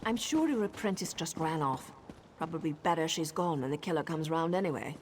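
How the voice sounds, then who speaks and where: An actress playing a cool, sardonic female elf assassin in a video game, with a husky voice and a dry, confident, often hushed delivery. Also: sits low, expressive, fairly quick, a little hiss or hum.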